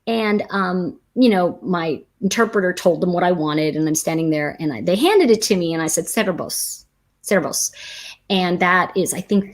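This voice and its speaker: An American woman hosting a tarot talk, telling stories in a rambling, chatty way with many restarts. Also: fairly low pitch. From United States. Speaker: tarot teacher and host. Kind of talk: casual storytelling livestream.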